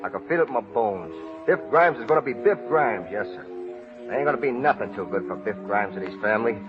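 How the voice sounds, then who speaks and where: Man playing a low-voiced earnest small-town fellow. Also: lively intonation, natural voice. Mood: tender, hopeful. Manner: folksy, colloquial, halting. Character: earnest, rough-spoken small-town man hopeful yet nursing old hurt. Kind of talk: 1930s radio drama acting. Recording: muffled vintage 1930s radio recording, music behind.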